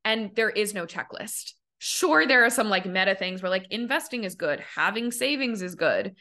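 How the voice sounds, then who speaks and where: A woman's voice: medium pitch, lively intonation, normal speed, pushed and bright. A young woman hosting a podcast as a money coach, talking casually with lots of "like" fillers and acting out the voices she quotes. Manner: chatty, many "like" fillers, acts out quoted voices.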